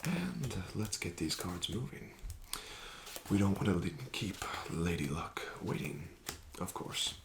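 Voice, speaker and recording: A man doing an ASMR roleplay as a casino dealer, formal and courteous, slipping into soft whispers. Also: sits low, very animated, slow, creaky, heavy background noise.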